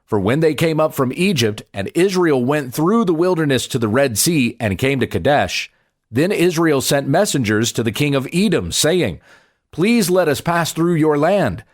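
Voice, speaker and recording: A man, a pastor, reading scripture aloud in a measured, deliberate and expressive way. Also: on the high side.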